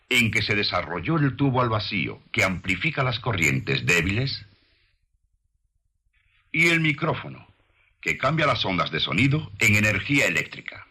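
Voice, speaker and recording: Deep-voiced male narrator, solemn and expressive. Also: slightly rough. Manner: solemn, measured, declamatory. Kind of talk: scripted religious science documentary narration. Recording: music behind the voice. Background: music.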